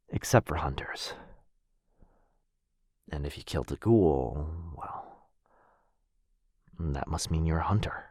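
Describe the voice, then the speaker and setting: Very deep, husky vampire lord, acted by a man. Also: a little mellow, no room sound, studio quality, full character voice. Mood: hushed, revelatory. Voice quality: breathy, husky, often dropping to a whisper. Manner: hesitant, tender, confiding. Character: apologetic, protective male vampire lord, soft-spoken and earnest. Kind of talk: intimate boyfriend ASMR roleplay audio.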